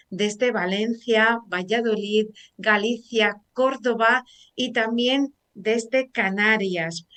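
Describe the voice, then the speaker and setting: Una mujer con acento de España presentando en directo un programa de radio, charlando con soltura y muchas muletillas. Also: female voice, mid-range voice. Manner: chatty and warm, frequent fillers like "eh" and "pues bueno". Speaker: radio show host. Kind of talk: live radio talk show hosting.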